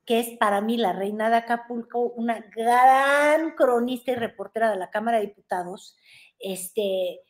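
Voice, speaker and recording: A Mexican woman with a high, bright-sitting voice talks politics in a playful, teasing way. She is in her thirties and joins by video call from a room at home, gesturing as she speaks. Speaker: guest commentator. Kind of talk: casual political chat.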